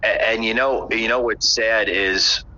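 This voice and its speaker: A man hosts a casual political podcast, his low voice flat yet bright-edged. He talks in a halting, stop-start way. The audio is dull and lo-fi. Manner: halting, pauses with many hesitations. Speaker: podcast host.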